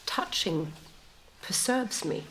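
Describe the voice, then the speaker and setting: A woman playing a thoughtful, tender hero in a dramatic reading of a philosophical futuristic story, speaking contemplatively with pauses mid-phrase. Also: medium pitch, expressive, a bit bright, low-quality recording. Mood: tender, earnest.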